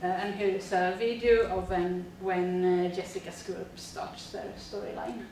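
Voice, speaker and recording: A woman's voice: on the low side, fairly even intonation, unhurried, a little mellow, very echoey, far from the mic, a little hiss or hum, low-quality recording. A woman, a teacher reading a prepared presentation steadily with frequent fillers. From Sweden. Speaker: teacher presenting a school project.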